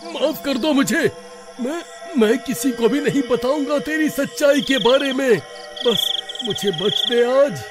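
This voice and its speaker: Horror cartoon victim, acted by a man: anxious male villager, high, animated, wailing delivery with music underneath. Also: unhurried. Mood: pleading. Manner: wailing, whining, exaggerated pleading. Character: panicky male villager, pleading helpless victim. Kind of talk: horror cartoon story acting.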